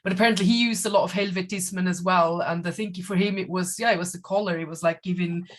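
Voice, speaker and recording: A woman with a Swiss German accent speaks on a video call, thinking aloud as she goes. She circles back with fillers and restarts, weighing each idea slowly. Her tone is reflective and conversational. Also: on the low side, fairly even intonation. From Switzerland. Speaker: German teacher. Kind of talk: online panel discussion.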